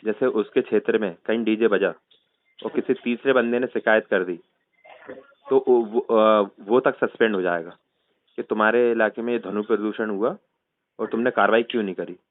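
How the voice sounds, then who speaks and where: A man's voice: on the low side, steady tone, normal speed. A man with a central Indian Hindi accent explains things persuasively on a phone call, often checking that the listener agrees. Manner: persuasive, checks agreement with frequent tag questions. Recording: muffled, tinny phone-call recording.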